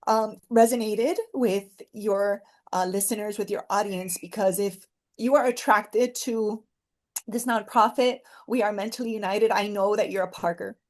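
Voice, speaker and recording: A woman's voice: mid-range voice, steady tone, unhurried. A woman speaking as a podcast guest in an earnest, encouraging, heartfelt conversational way, repeating phrases for emphasis.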